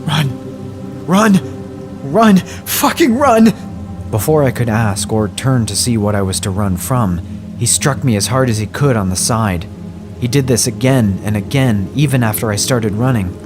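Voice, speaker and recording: A man reading a scripted first-person horror story in a measured, grave way. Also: lowish voice. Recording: music bed under the voice.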